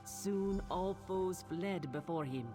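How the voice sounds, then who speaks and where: An earnest Nord storyteller, music behind. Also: female voice, lowish voice, takes it slowly. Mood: epic, solemn. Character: earnest, proud female Nord storyteller of the warriors' hall. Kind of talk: fantasy game voice acting. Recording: game music loud behind the voice.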